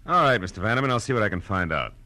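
An actor playing a wry, tough-talking American private detective in a 1940s radio drama, terse and sardonic. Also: male voice, lowish voice, sing-song, racing, background noise. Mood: wry, probing. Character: hard-boiled, wry male private detective. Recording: vintage radio recording with music.

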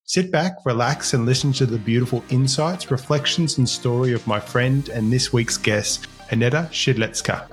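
A man's voice: medium pitch, normal speed, hardly any pauses. A man hosting a podcast, introducing his guest with enthusiasm. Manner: upbeat, enthusiastic presenter. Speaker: podcast host. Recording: faint music under voice.

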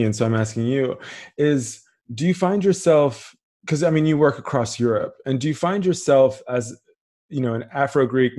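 A man's voice: medium pitch, a little mellow. A man hosting an interview, asking questions conversationally and thinking aloud as he frames them. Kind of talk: casual conversation.

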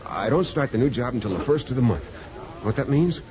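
A man playing an eager, insecure, devoted young fiancé with an earnest, halting delivery in 1950s radio drama style. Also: mid-range voice, lively intonation, crowd noise, natural voice. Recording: dull 1950s radio recording.

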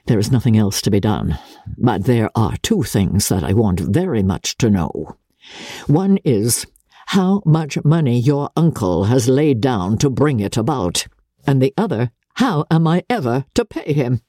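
A woman voicing a dry, rueful older gentleman, a weary father, blunt and wry in a dramatic reading of a classic novel. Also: a bass voice, very animated, measured pace, slightly rough, dry recording, exaggerated voice.

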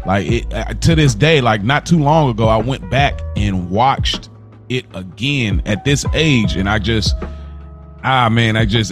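Low-voiced man chatting loosely. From Kentucky, USA. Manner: relaxed, rambling, many fillers and restarts. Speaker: podcast co-host. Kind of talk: casual conversation.

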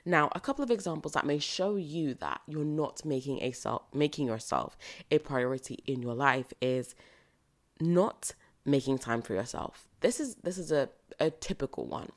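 A British woman hosting a solo podcast, slightly croaky from recording early in the morning, speaking in an earnest, motivational way and repeating phrases for emphasis. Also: deep voice, animated, normal speed. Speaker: podcast host. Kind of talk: solo reflective podcast monologue.

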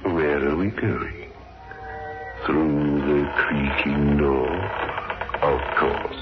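A macabre, mock-cosy radio horror host. The voice is bottomlessly low and drawls very slowly. Music plays behind it on a dull old recording. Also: male voice, steady tone, exaggerated voice. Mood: sly, mocking. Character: sinister, mocking, ghoulish horror show host. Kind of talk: 1960s radio horror hosting.

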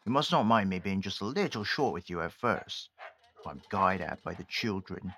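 A man playing a gruff, suspicious but kindly old animal keeper in an audio drama, with colloquial working-class speech. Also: low-pitched, normal speed, pushed and bright. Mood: welcoming, apologetic. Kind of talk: audio drama acting of Victorian story. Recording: clearly noisy recording.

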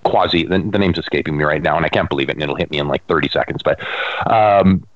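A man's voice: very deep voice, fast talker, no room sound, lo-fi sound. A man co-hosting a casual talk show while stuffy from a cold, rambling and searching for words. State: stuffy, getting over a cold. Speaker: podcast co-host. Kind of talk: casual chat.

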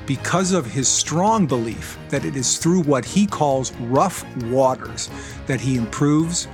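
A man's voice: medium pitch, animated, slow, over music. Man co-host reading a scripted intro.

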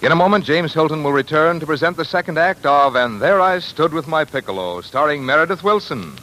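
A man's voice: a little high, animated, brisk, sharp tone, poor audio. An American man reading as a polished, earnest radio announcer in scripted 1940s commercial style. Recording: 1940s radio broadcast, faint noise.

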